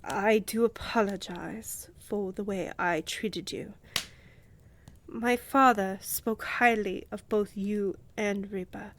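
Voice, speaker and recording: A woman playing a poised vampire countess in a fantasy audio drama, measured and regal, condescendingly affectionate, with a breathy voice that often drops to a whisper. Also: medium pitch, fairly even intonation, a little hiss or hum. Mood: pensive, courteous. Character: poised, commanding young vampire countess, coolly indulgent.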